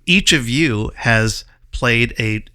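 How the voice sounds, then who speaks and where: A man's voice: medium pitch, animated, takes it slowly, pushed and bright, clean tone. A man interviewing guests in a conversational, encouraging way, rambling a little as he builds his questions.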